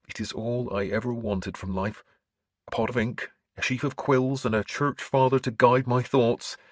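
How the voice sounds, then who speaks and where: A man voicing a gentle, earnest scholarly bishop in an audiobook, with mild manners and a preacher's fervour. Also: mid-range voice, fairly even intonation, moderate pace, husky, no room sound, clean studio sound, voice pushed up, lightly acted. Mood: earnest, fervent. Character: gentle, earnest scholarly priest turned bishop, quietly sly.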